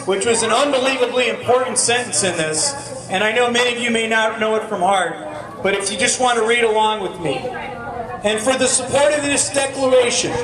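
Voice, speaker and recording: An American man reciting and speaking publicly to a gathering. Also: extremely high pitch. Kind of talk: public recitation and address to a crowd. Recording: echoey, distant mic amid crowd noise.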